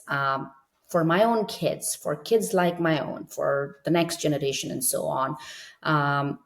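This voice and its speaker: A middle-aged woman with a notably low, deep voice. She talks in a measured, earnest way, reflecting on her own path as an interview guest. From India. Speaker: guest, children's author. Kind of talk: casual interview storytelling.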